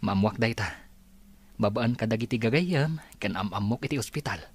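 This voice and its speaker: A man's voice: fairly low pitch, expressive, moderate pace, breathy edge. A man acting a concerned, questioning young friend in an Ilocano radio drama.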